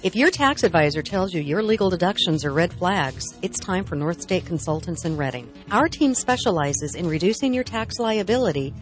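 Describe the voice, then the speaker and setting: A woman reading a local radio advertisement, brisk and assertive. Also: on the low side, animated, over music. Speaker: ad announcer. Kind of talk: scripted radio ad read.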